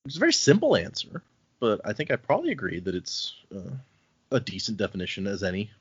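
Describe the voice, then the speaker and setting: A man talks through a book's chapters in a relaxed, chatty way, slipping into quick voices for the characters. His voice is slightly husky. The sound is dry and a little muffled, like a home podcast setup. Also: on the low side, no room sound. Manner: recaps chapters, acting out lines in quick voices. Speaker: podcast host. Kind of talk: casual book discussion.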